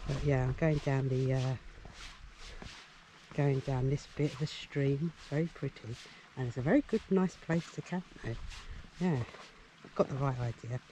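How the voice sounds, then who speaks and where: A man's voice: mid-range voice, steady tone, moderate pace, pauses often, mellow, slight background noise, rough recording. A man talking casually to camera while out on a mountain hike, rambling along with plenty of fillers and little chuckles. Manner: chatty and rambling, many fillers, chuckles to himself. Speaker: vlogger, hiker. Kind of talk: casual vlog talk to camera. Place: outdoors on a mountain path.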